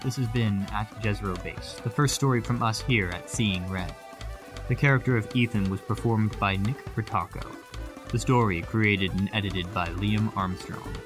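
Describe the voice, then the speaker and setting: A man's voice: on the low side, normal speed. A man reading scripted outro credits as the announcer of a radio show. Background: guitar music.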